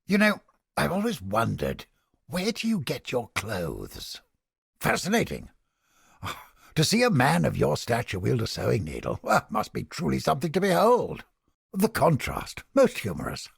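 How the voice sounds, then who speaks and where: A man playing a haughty, creepy mind-reading interrogator in a video game, with a pompous, florid, theatrical delivery and a raspy, gravelly voice. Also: mid-range voice, huge pitch swings, moderate pace, dry recording, studio quality, full character voice. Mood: dry condescension. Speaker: game character. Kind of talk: video game character voice lines.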